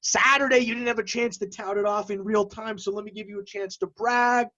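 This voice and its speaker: A man's voice: high-pitched, steady tone, brisk, pushed and bright, dull audio. A man co-hosting an energy industry talk show in casual banter, rambling and often restarting his sentences. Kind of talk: casual unscripted banter and commentary.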